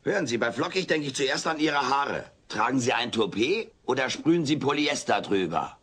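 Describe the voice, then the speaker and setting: A man's voice: medium pitch, animated, moderate pace, a bit bright, breathy edge. A male actor playing a greedy, sarcastic furry alien in a comedy radio play. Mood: indignant. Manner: sarcastic, glib. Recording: noisy old cassette transfer.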